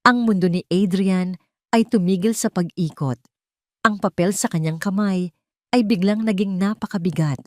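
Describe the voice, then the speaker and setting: A woman's voice: medium pitch, moderate pace, no room sound, clean studio sound. A woman reading a scripted romantic drama as a storyteller, with dramatic narration.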